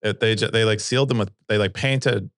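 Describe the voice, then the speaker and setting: Very deep-voiced man chatting casually. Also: steady tone, a little fast, fairly bright tone, dry recording, studio quality. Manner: explains technical points casually, with many likes and restarts. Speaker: podcast co-host. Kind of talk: casual chat. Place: cold room by a window.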